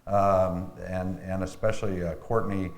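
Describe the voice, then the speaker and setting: A man, an actor being interviewed, talking casually in a rambling, halting way that repeats phrases. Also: deep voice, level tone, roomy sound.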